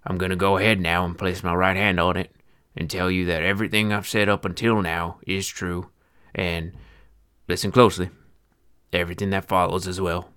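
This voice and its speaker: A man voicing a bitter, foul-mouthed old male feed seller in his sixties, telling his tale with a deep voice, an old-time American Western twang and a sardonic, grumbling manner. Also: level tone, normal speed, a bit bright, smooth, no room sound, studio quality. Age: 60s. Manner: grumbling, sardonic, swears freely. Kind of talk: first-person storytelling narration.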